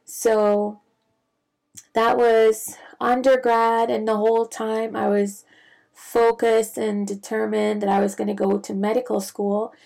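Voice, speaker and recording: A young woman from the Bahamas, a physician, telling her career story in a reflective, measured way with frequent fillers. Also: on the high side, flat delivery, gravelly, darkish tone. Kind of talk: casual personal storytelling.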